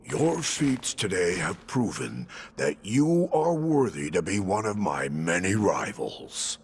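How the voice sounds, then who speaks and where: Gravelly, bombastic wandering warrior. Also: male voice, big pitch swings, takes it slowly, a little hiss or hum, heavily acted. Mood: boastful, dramatic. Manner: grandiose, florid, swinging from hushed to booming. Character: bombastic theatrical male warrior wandering between dimensions, boastful and melodramatic. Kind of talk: theatrical video game cutscene acting.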